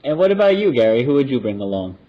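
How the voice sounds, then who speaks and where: A man's voice: mid-range voice, very animated, fast talker. A man hosting a podcast, casually moderating a panel and putting questions to the guests. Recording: dull lo-fi audio.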